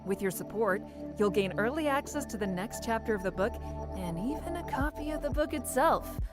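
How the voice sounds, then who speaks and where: A woman's voice: on the high side, animated, normal speed, over music. A woman voice actor delivering a scripted promotional announcement to listeners. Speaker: voice actress promoting the audiobook.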